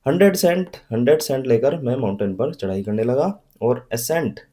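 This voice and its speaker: A young man teaching vocabulary in Hindi with a western Uttar Pradesh accent and a slight Haryanvi touch. He explains patiently, repeats his memory tricks and reads English definitions slowly. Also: medium pitch, expressive, warm tone. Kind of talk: recorded classroom-style lesson.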